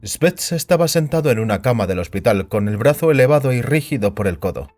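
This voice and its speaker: A man's voice: mid-range voice, animated, a little fast, darkish tone. A man narrating a scripted fiction story as a dramatic audio production. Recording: music bed behind the voice.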